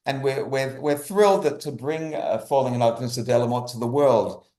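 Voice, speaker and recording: Male playwright guest, medium pitch, enthusiastic halting conversational delivery, echoey room sound. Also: mellow. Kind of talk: conversational interview talk. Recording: roomy echo.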